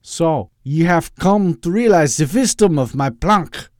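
A man's voice: on the high side, animated, a little slow, a little mellow, no room sound, studio quality, voice pushed up. A man voicing an eager, insecure mad-scientist villain in an audiobook, rambling and theatrical, with a Southern accent that wanders into mock-Austrian. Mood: grandiose. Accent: American South, wandering to mock-Austrian.

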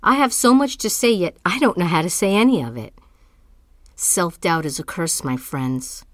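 A woman's voice: on the low side, lively intonation, fairly bright tone, no room sound, clean studio sound. An actress playing a tormented, self-doubting woman artist in a theatrical, poetic spoken-word monologue. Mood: weary, bitter. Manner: dramatic, poetic, deliberate.

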